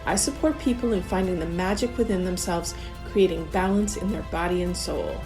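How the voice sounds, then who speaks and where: A woman co-hosting a podcast, giving a calm, measured scripted self-introduction. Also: lowish voice. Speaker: podcast co-host. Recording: voice with music behind.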